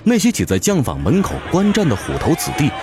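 A man's voice: fairly high pitch, wildly swooping pitch, a little fast. A man narrating a fantasy audiobook in a theatrical, suspenseful style, over music. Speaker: narrator, professional voice actor. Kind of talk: dramatic scripted audiobook narration. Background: music bed.

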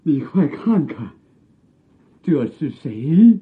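An affectionate, proud old scholar in a radio drama. His speech swoops widely in pitch and goes slowly, with theatrical, literary phrasing. The sound is narrow and muffled, like a phone line. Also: big pitch swings, slightly rough, heavily acted. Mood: joyful, excited. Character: elderly proud scholar father, exiled ex-official, affectionate.

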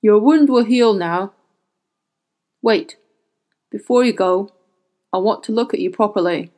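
A woman's voice: mid-range voice, a little slow, dark tone, natural voice. A woman voicing a haggard, stubborn male shaman in a story, giving urgent, solemn instructions. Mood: solemn urgency. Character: haggard, grey-haired dying male shaman, stubborn, commanding.

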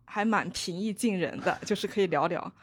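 A woman guest in a casual podcast chat, speaking in a soft, measured way with hesitant fillers. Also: lowish voice, breathy edge, no room sound.

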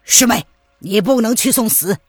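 A righteous male martial-arts hero, put on by a woman narrator, stern and angry. He declaims forcefully with animated pitch in a dramatised audiobook, recorded dry over faint music. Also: normal speed, husky, dry recording. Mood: stern, urgent.

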